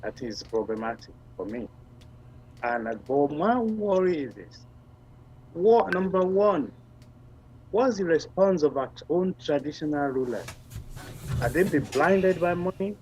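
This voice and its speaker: A man's voice: on the high side, animated, unhurried, pauses often, a little mellow, rough recording. A man calling in with questions, a little apologetic.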